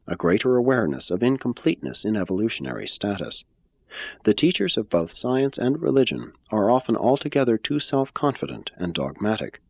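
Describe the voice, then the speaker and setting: A man giving a measured, phrase-by-phrase scripted reading of a religious text. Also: lowish voice, vocal fry, darkish tone, dry recording, lo-fi sound. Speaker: reader.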